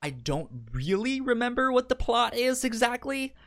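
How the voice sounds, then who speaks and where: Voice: medium pitch, very animated, moderate pace, a bit bright. A podcast co-host chatting casually and recapping shows, often stammering and repeating words and syllables. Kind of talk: casual chat, pitching and recapping anime.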